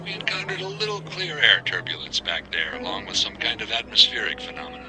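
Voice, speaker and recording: A low-pitched male airline captain in a radio drama, measured and authoritative under pressure. There is a dry, wry edge to his command, and music runs clearly behind him. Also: very animated. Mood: calm, reassuring.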